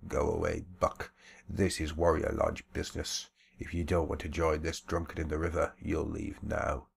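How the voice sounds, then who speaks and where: A man's voice: extremely low pitch, little pitch movement, moderate pace, creaky, slightly rough, full character voice. A man voicing a cruel male leader of a street gang with a sneering manner. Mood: threatening. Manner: sneering, mocking.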